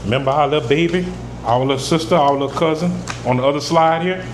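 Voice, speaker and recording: A man's voice: a little high, fast. A man teaching scripture, reading verses aloud and then explaining them in plain, emphatic talk. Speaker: preacher. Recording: echoey room with air conditioning hum.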